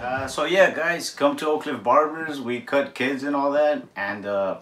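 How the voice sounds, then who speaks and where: A man's voice: medium pitch, unhurried, a bit bright, reverberant, soft background music. A young man in his twenties hosting his own vlog, talking to the camera with dry, offhand humor from a small indoor room. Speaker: vlog host and barber. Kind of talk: casual deadpan talk to camera.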